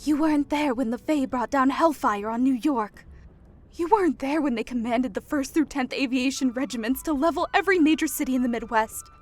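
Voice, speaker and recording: A woman's voice: high voice, a little fast, a little mellow, faint music behind. A woman playing a small, wounded but resolute refugee in an audio drama, defiant in her delivery. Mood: impassioned, pained.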